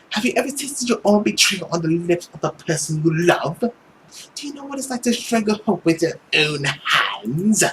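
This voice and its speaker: Here is a man acting a tormented vampire villain from a visual novel. He is sneering and theatrical, drawing out his words with very wide swings of pitch. A faint noise sits behind the voice. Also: pushed and bright, poor audio. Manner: theatrical, drawn-out, sneering delivery. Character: bitter, anguished male vampire villain, mocking and theatrical.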